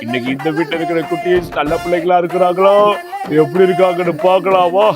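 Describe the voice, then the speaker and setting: A man's voice: sits high, fairly even intonation, quick pace, over music, close to an everyday voice. A man playing a jolly old Christmas grandfather who hosts a children's show, chuckling and repeating his phrases playfully. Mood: jolly, chatty.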